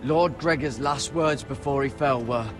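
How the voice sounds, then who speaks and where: Man acting as an earnest young ranger, steady voice, game score behind. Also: medium pitch, a little slow, close to an everyday voice. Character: earnest young male Night's Watch ranger. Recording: game audio with music underneath.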